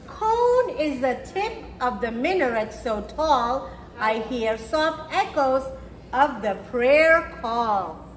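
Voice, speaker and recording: Woman, high voice, children's read-aloud teacher, encouraging, simple phrasing, roomy echo. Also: very animated, slow. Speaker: children's storytime reader and teacher. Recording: roomy echo with faint background noise.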